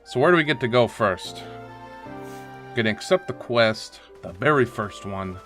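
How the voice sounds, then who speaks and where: Casual, jokey game streamer with lively pitch. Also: moderate pace, over music. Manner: jokey, mimics characters' reactions. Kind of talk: casual playthrough commentary.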